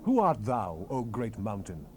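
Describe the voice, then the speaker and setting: A man's voice: lowish voice, wildly swooping pitch, measured pace, warm tone. Man acting a commanding, majestic God, widely swooping voice. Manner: declamatory, solemn, emphatic. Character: the Lord God, commanding, majestic, stern male deity. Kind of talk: dramatized scripture reading. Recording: hissy 1970s cassette rip.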